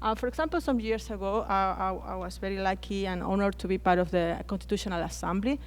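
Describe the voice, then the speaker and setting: A woman's voice: medium pitch, fast, a little hiss or hum. A Chilean woman whose first language is Spanish, speaking on a conference panel with frequent hesitations and restarted words. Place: conference plenary hall.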